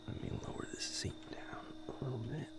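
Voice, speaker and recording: A man playing a devious vampire posing as a suave dentist in an intimate audio roleplay, talking softly and reassuringly to the listener in a breathy, gravelly half-whisper. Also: close to an everyday voice. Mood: smooth, welcoming. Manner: soft-spoken, slow, reassuring bedside patter. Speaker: dentist character. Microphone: close, dry microphone.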